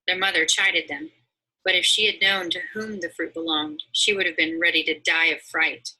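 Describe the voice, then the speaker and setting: A woman reading a storybook aloud as narrator, in a dramatic, expressive manner. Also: on the low side, normal speed, breathy edge, rough recording. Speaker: story reader.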